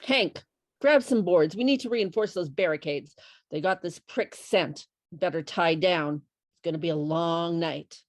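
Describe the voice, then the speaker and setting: A woman's voice: on the low side, unhurried, dry recording, natural voice. A woman playing a tough, commanding gunslinger in an acted screenplay table read. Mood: brisk, commanding.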